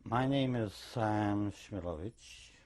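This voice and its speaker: An older man from eastern Czechoslovakia with an Eastern European accent, giving recorded testimony as an interviewee in a deliberate, anecdotal way. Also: lowish voice, darkish tone. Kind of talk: recorded oral history testimony.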